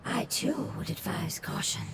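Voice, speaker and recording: A woman voicing a grave, cautious explorer in a fantasy video game, with formal, measured speech. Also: on the low side, animated, heavy background noise. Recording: echoing voice under loud game music.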